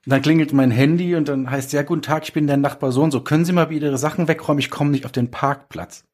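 A man's voice: fairly high pitch, fairly even intonation, quick pace, a little mellow. A man casually telling personal stories as a guest author, correcting himself as he goes. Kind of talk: casual anecdotal storytelling.

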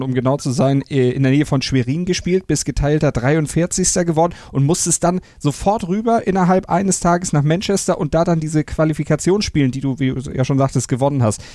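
A man hosting a sports podcast, with a voice pitched a bit higher than most men. He asks his questions fluently and conversationally, and the sound is dry and close. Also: fairly quick, hardly any pauses, warm tone, dry recording. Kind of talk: podcast interview.

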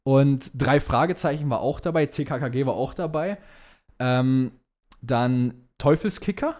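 A young man co-hosts a casual podcast. He talks in loose youth slang and states his opinions emphatically. His voice sits at an ordinary, middle pitch for a man. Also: a little slow, darkish tone. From German, partly raised in Dubai. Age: young adult. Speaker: podcast co-host.

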